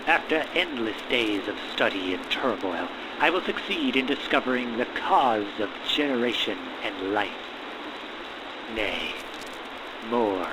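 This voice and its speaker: Man acting a slow, grandiose mad scientist in rain. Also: medium pitch, background noise, natural voice. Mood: exalted. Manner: theatrical, grandiose declaiming with drawn-out emphasis. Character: manic, grandiose mad scientist, male, obsessed with creating life. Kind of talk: gothic horror audio roleplay acting. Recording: loud rain and thunderstorm ambience behind. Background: thunderstorm and rain.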